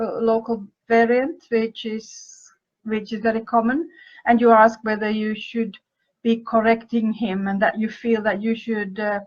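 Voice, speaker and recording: A woman from Finland, a native speaker of Finnish and Swedish, hosts a podcast and gives advice on listener questions, thinking aloud with pauses and restarted phrases. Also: on the high side, lo-fi sound. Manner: thoughtful and hesitant, pausing with fillers and restarting phrases. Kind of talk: advice talk answering questions.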